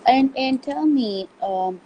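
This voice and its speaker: A woman's voice: sits high, lively intonation, takes it slowly, mellow, slight background noise. Woman host, hesitant. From Pakistan. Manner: thoughtful, hesitant, apologizes for slips. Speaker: podcast host. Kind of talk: interview conversation.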